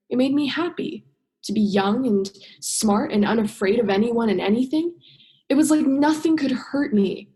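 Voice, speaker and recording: A woman's voice: medium pitch, a little mellow, lightly acted. A woman drama student acting a bitter, sarcastic young female scientist in a radio play, sardonic and emphatic, stretching stressed words. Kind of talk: radio play monologue and dialogue.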